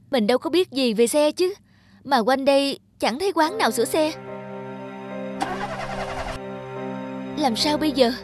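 Cartoon heroine: young office woman, modest, courteous, music behind the voice. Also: high-pitched. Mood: musing, worried. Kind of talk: animated romantic drama acting.

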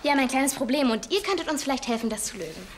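A pushy, scheming teenage schoolgirl in a TV drama. Her voice is high with lively swings in pitch. There is clear noise behind her. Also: rapid-fire. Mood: persuasive. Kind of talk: naturalistic TV drama acting. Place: school hallway, indoors.

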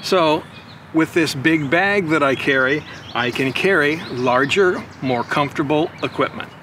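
Older man, slightly high voice, casual show-and-tell, outdoor backyard, clear ambient noise behind. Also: a little slow. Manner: conversational, explains step by step with frequent "again" and "right". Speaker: motorcycle vlogger. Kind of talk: casual gear demonstration.

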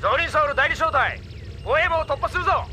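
A brash, sharp-voiced combat pilot. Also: male voice, extremely high pitch, animated, fairly quick, a little hiss or hum, heavily acted. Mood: loyal, forceful. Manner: brash, forceful. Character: brash, hardened male combat pilot, cocky, gruffly loyal. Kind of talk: anime radio drama acting.

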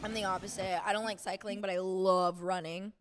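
A woman in her twenties chatting casually on a live stream, reading and answering chat with excitable bursts. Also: medium pitch, brisk, dry recording. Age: 20s. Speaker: esports co-streamer. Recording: game music under the voice. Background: game music and car sounds.

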